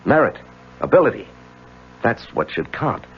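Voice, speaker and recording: Conflicted young attorney, gravelly, confiding, hesitant, 1970s radio drama, dull lo-fi recording. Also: male voice, mid-range voice, sing-song, moderate pace. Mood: insistent. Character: married young attorney, earnest, evasive, guilt-ridden husband and narrator.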